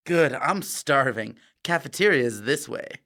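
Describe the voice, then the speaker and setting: A man plays an easygoing high school boy in an audio drama. The voice sits in a middle register, breezy and cheeky, with a casual, slangy, slightly dim delivery. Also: lively intonation, measured pace, sharp tone. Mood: friendly.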